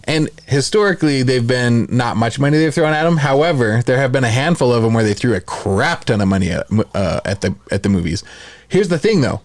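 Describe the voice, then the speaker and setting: A man's voice: medium pitch, lively intonation, fairly quick, dry recording. A man co-hosting a podcast, talking casually and giving his opinions. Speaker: podcast co-host.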